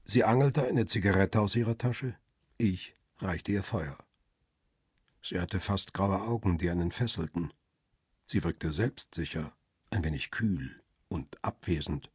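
A coolly observant male paparazzo telling his story in a radio crime drama. The voice is very raspy and fairly low, recorded close and dry. He narrates in a measured, descriptive way. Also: no echo, full character voice. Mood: observant, calm. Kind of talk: scripted first-person radio drama narration.

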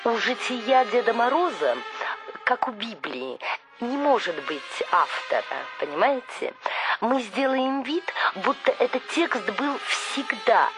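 A woman's voice: on the high side, big pitch swings, unhurried. A woman acting in a radio play as a businesslike, secretive government official who gives insistent instructions. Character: brisk, secretive female government official commissioning writers. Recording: studio radio drama with music behind.

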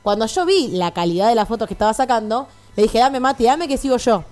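A woman's voice: fairly high pitch, expressive, quick pace, darkish tone. A young woman with a Rioplatense Argentine accent, chatting and teasing casually as a radio columnist.

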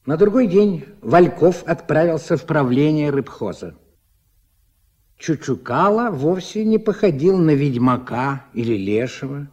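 A man narrating a radio play in a scripted, dramatic storytelling style with a husky voice. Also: fairly high pitch, lively intonation, measured pace, darkish tone.

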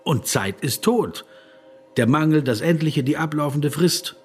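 An actor playing an earnest, politely persistent philosopher in a radio play, with a husky voice and a reflective, probing manner. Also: male voice, a little high, expressive, unhurried. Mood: earnest, arguing.

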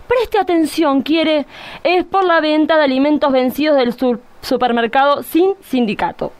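A woman acts out a stern woman judge in a live comic radio play. Her voice is high and Argentine-accented. She talks in a bossy, exasperated way, used to giving orders. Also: normal speed, close to an everyday voice. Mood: commanding. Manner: commanding, exasperated.

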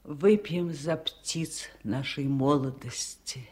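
Slow, low-voiced wise old village woman. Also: warm tone. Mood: wistful. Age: older. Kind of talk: 1970s Soviet radio drama acting.

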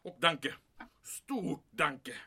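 High, animated, blustering commandant played by a man. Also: over loud music. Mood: grave, secretive. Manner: emphatic, peppering speech with German exclamations. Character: anxious, blustering German military commandant guarding a secret. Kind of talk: audio drama acting.

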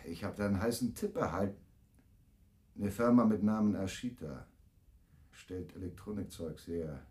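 A man's voice: sits low, moderate pace, darkish tone, husky. Slick wheeler-dealer, salesman's patter. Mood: sly, joking. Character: brash, fast-talking wheeler-dealer man pitching schemes to film people. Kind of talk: radio drama acting.

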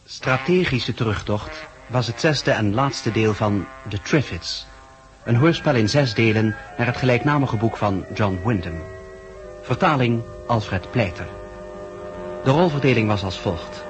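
A man's voice: mid-range voice, animated. A man with a Dutch accent formally reading scripted credits as a 1960s radio announcer. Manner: formal, measured. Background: music.

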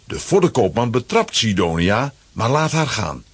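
A man's voice: medium pitch, very animated, moderate pace, husky, dry recording. A man narrating a scripted children's audio play as its storyteller. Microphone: close, dry studio microphone.

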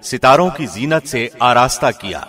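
A man reading a scripture translation formally, in the measured, solemn declamation of a radio presenter. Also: medium pitch, animated, a bit bright.

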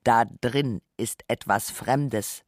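A woman performing a scripted literary radio essay in a reflective, rhetorical manner with deliberate phrasing. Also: very deep voice, a little mellow, dry recording, clean studio sound.

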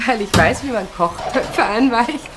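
A woman's voice: a little high, heavy background noise. An actress playing a dutiful, self-sacrificing unmarried woman in her thirties in a German radio play, speaking politely and with restraint. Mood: mildly amused, practical. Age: 30s. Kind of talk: German radio play acting, intimate naturalistic dialogue.

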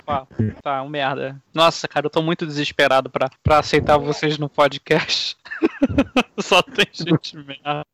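A man's voice: fairly high pitch, measured pace, a bit bright, slight background noise. A man hosting a podcast over a voice call, mocking and cursing at his guests in casual banter. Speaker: podcast host. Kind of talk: casual teasing chat.

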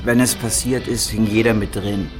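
An actor playing a cynical informant in a radio drama, speaking tersely and matter-of-factly with a sardonic edge. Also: male voice, fairly low pitch, fairly even intonation, normal speed, over music, close to an everyday voice. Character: cynical male informant on jungle crimes.

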